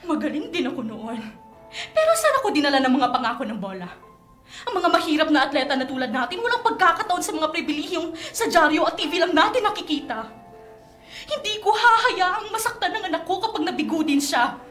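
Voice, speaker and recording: In a roomy, echoing space, a woman acts out a strict, bitter mother for a radio drama. Her voice is very high and bright, scolding and full of anguish. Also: sing-song, a little fast, full character voice. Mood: bitter, accusing. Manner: scolding, emotionally intense. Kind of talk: melodramatic radio drama acting. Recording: roomy echo, faint music behind.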